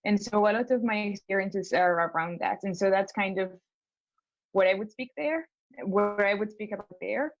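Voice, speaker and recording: A woman's voice: medium pitch, normal speed, no echo, highs cut off. A young woman from Spain, a law school applicant, talking through her plans in a casual consultation call, hesitant with many fillers and restarts.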